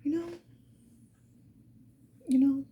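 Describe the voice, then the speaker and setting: A woman whispering breathily in a casual, unhurried ASMR get-ready-with-me chat, with self-deprecating murmured asides. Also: sits high, steady tone, warm tone. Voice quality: breathy whisper, mostly unvoiced. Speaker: ASMR video creator. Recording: dull, muffled sound with faint noise.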